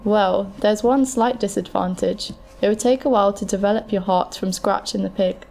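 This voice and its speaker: Woman playing a mellow, creaky-voiced, frank medical scientist. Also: medium pitch, natural voice. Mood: reassuring, frank. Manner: measured, matter-of-fact, explanatory. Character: frank, calm futuristic transplant scientist advising a patient. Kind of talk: scripted explanatory dialogue in an amateur audio drama.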